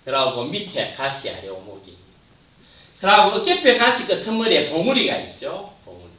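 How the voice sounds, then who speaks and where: Male lecturer, lively pitch, muffled distant room recording. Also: reverberant. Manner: points at slides, trails off at phrase ends. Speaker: anatomy lecturer. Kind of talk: classroom lecture.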